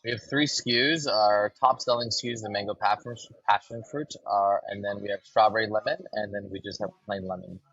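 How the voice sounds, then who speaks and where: A man from Los Angeles, a brand co-founder, talking casually in a podcast interview and pausing on ums and restarts. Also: fairly low pitch, husky, lo-fi sound. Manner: relaxed, fills with ums and restarts.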